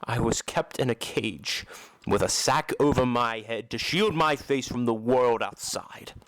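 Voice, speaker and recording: A man's voice: mid-range voice, a little slow, fairly bright tone, no echo, close to an everyday voice. Man acting a solemn, tormented masked recluse. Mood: bitter, pained. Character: tormented, embittered male masked opera recluse recalling a cruel childhood. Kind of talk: amateur audio drama acting.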